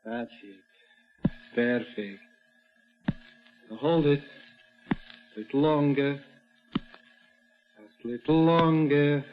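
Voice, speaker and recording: An actor playing a film director who coaches with slow, measured, coaxing instructions in a 1940s radio drama. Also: male voice, mid-range voice. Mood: gentle, controlled. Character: film director softly coaching an actress through a scene. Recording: dull vintage radio recording, music behind.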